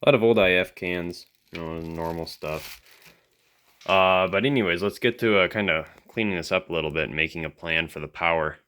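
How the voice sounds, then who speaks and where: A man's voice: low-pitched, a little fast, a bit bright. A man giving casual hands-on repair commentary in an offhand, dryly joking way. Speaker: electronics restoration video host.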